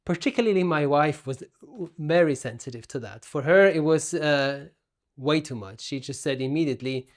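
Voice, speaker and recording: Male gear reviewer, pitch a bit above average, explanatory delivery with deliberate, emphatic phrasing. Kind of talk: explanatory product review talk. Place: room at home.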